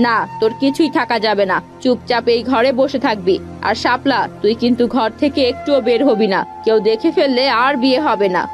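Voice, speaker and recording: A woman's voice: on the high side, brisk, hardly any pauses, close to an everyday voice. A woman playing a scheming, scornful young sister-in-law in a cartoon fairy tale, bossy and sly. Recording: background music under the voice.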